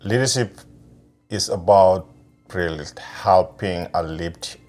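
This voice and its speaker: A middle-aged man from South Sudan, speaking in a measured interview manner with pauses and fillers. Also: low-pitched, darkish tone, faint music behind. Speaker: interviewee, community advocate. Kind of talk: reflective interview talk.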